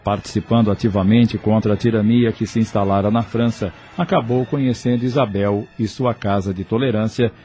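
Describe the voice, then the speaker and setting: A man's voice: fairly low pitch, steady tone, a little fast, hardly any pauses, dark tone, a little hiss or hum. A man with a Brazilian accent reading scripted narration for an audio novel. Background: music.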